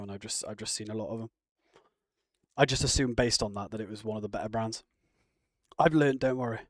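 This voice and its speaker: A man's voice: lowish voice, dry recording, clean studio sound. A man chatting casually on a live stream, rambling and answering viewer comments. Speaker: live streamer reacting to chat. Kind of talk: casual chat.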